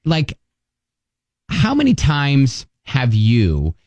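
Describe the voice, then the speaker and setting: A man with a medium-pitched voice co-hosts a radio show in a dry studio. His intonation swings widely as he spins casual, comic anecdotes, voicing his own thoughts as little bits of dialogue. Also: huge pitch swings, drawn-out words, darkish tone, no echo. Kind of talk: casual chat, comic personal storytelling.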